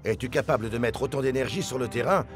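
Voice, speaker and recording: A man's voice: medium pitch, quick pace, music in the background, lightly acted. A man voicing a stern but fair football coach in a cartoon, blunt and emphatic. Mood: serious.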